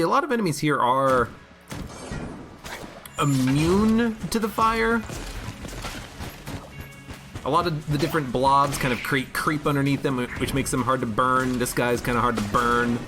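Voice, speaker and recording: A slightly hoarse man chats his way through a game stream, his pitch bouncing lively between high and low as he reacts. He talks in an easy, rambling manner, and game music plays clearly behind him. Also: normal speed, long pauses. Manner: chatty, reads chat aloud, musing. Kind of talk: casual gameplay commentary.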